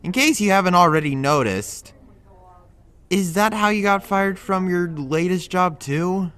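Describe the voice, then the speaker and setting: Guy acting as a fed-up but caring buddy, chiding, casual, bright forceful voice, kinda slow talker, audio drama. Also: high voice. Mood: exasperated, chiding. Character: exasperated but well-meaning male friend, blunt and casual.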